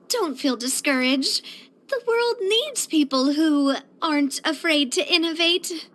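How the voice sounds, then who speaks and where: A young woman voicing a cheerful, elegant young lady leader in a video game, earnest, chatty and expressive. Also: extremely high pitch, full character voice. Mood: cheerful, encouraging. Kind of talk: video game character voice lines.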